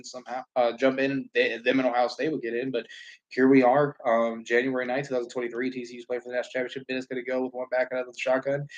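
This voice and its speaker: A man's voice: medium pitch, a bit bright, low-quality recording. A man from Southern California hosting a live stream, chatting casually and calling plays, rambling with lots of fillers and casual swearing. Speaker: livestream host and play-by-play commentator.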